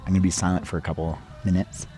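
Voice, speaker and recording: A man with a very deep, dark voice, worn down by a cold. He talks in a relaxed, meditative vlog manner outdoors, with faint music drifting in behind him. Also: quick pace. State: losing his voice from a cold. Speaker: art vlogger. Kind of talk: casual travel vlog narration. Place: outdoors by a hot spring. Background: faint local music.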